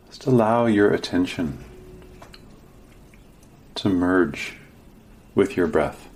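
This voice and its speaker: A man leading a guided meditation as a teacher, giving gentle, sparse instructions with long pauses. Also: low voice, expressive.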